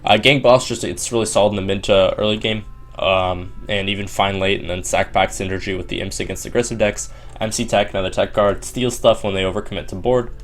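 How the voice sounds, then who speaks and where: A man's voice: lowish voice, fairly even intonation. A young man with a slightly sore voice, casually walking through a card game deck with lots of ums and restarts. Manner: quick, filler-heavy delivery with frequent ums and restarts. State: sore voice from long streaming. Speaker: Hearthstone player explaining a deck.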